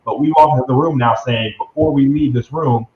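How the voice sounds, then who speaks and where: A man's voice: mid-range voice, brisk. Young man, roomy lo-fi sound. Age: 20s. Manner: confident, salesy, uses "right?" as a tag. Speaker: interview guest, young business executive. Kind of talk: conversational interview answer, explanatory pitch. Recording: dull lo-fi sound, roomy echo, faint noise.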